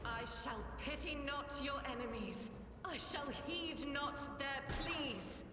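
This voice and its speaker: A woman voicing a zealous, devout warrior nun in a video game, speaking slowly and solemnly. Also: high-pitched. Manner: solemn, slow, prayer-like delivery. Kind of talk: voiced game dialogue. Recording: echoey hall reverb under game music. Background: game music.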